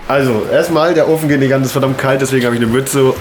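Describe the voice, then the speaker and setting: Ein junger Mann erzählt locker und umgangssprachlich als Kajakfahrer direkt in die Kamera. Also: male voice, mid-range voice, steady tone, fast talker. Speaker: kayaker recounting the day. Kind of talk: casual storytelling to camera, slangy. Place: indoors in an unheated house. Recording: echoey room, noticeable background noise.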